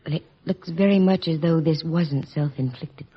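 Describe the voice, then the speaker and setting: An actress playing a sharp, composed female detective who narrates in a brisk, matter-of-fact way, in 1940s radio acting style. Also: sits low, slightly rough. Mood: serious. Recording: dull lo-fi vintage radio recording, faint music.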